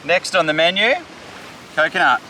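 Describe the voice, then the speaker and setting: A man's voice: fairly high pitch, normal speed, pushed and bright, a little hiss or hum, poor audio. Upbeat young man outdoors by surf. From Samoa. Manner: upbeat, enthusiastic tour-guide talk. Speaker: survival vlog host. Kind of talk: casual outdoor explainer. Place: outdoors on a tropical island beach.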